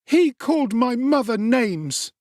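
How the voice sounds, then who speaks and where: A man's voice: very high-pitched, very animated, slow, a little mellow, no echo, clean studio sound, pitched above the performer's own voice, heavily acted. A man voicing a defiant young workhouse boy who answers back boldly in short replies.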